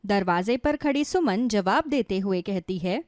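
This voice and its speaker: A woman narrates a scripted moral tale. Her delivery is lively in pitch and slightly slow, recorded with very little room sound. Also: dry recording, studio quality. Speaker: storyteller.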